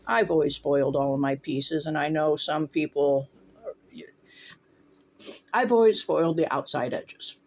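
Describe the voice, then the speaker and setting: A man's voice: a little high, measured pace, long pauses. A man from Northwest Ohio, casually explaining stained glass steps as he works in his home studio and thinking aloud between tasks. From Northwest Ohio, USA. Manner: relaxed, talks while working, thinks aloud. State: runny nose, voice briefly faltering.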